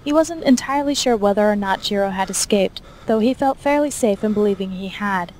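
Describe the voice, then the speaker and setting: A woman's voice: mid-range voice, expressive, a little fast, darkish tone. Woman narrating a story, faint background noise. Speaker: fan fiction narrator.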